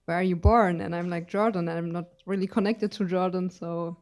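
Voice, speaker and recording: A woman's voice: on the low side, fairly even intonation, a little fast. A young woman from Germany in her twenties, introducing herself on a panel in a shy, hesitant way. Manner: shy, hesitant, laughs softly. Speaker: panelist, student.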